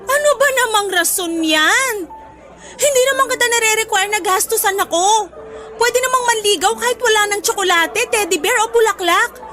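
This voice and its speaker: A woman's voice: extremely high pitch, normal speed, over music, a crowd in the background. An actress playing a hurt, suspicious young woman in an emotional radio drama, pressing her suitor insistently. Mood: pleading.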